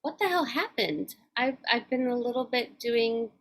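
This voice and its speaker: A woman's voice: on the high side, expressive. A woman in her forties co-hosting a podcast, talking reflectively and earnestly in casual chat. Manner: reflective, earnest, speaks in measured thoughts. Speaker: podcast co-host.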